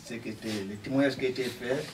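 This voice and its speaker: A man serving as religious elder who gives a short closing blessing at a memorial in a community hall. He speaks in a brief, halting and solemn way. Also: medium pitch, steady tone. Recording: distant mic, loud background noise.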